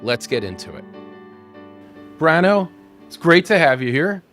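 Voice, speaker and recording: A man hosting a podcast, opening with a brisk, upbeat intro and then chatting with his guest. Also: fairly high pitch, sing-song, a bit bright, faint music behind. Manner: upbeat, scripted-sounding intro. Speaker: podcast host. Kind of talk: podcast interview.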